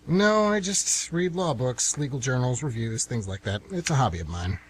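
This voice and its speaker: A man's voice: medium pitch, animated, normal speed, slightly rough. A man playing a pompous, easily offended would-be novelist in his fifties in an amateur radio comedy. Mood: smug. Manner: pompous, grandiloquent, indignant. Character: pompous, defensive 52-year-old aspiring novelist man.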